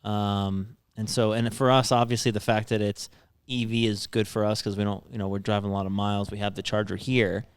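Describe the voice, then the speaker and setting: A man co-hosting a podcast in casual chat, talking haltingly with restarts. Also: lowish voice, little pitch movement, clean tone, no echo. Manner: halting, many fillers and restarts. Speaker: podcast co-host.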